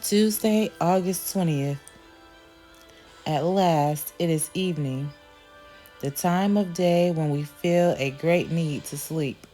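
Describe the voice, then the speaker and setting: A woman reads a storybook aloud at an unhurried, deliberate pace, her tone warm and mellow and pitched on the low side. She tells it with careful expression, and soft music plays faintly behind her. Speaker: children's book reader and channel host.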